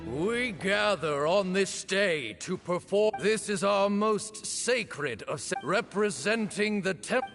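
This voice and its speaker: A man's voice: high-pitched, lively intonation, slow pace, few pauses, fairly bright tone, heavily acted. A man playing a solemn ceremonial officiant in a video game, proclaiming in a formal, stately way. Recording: long hall reverb, music behind.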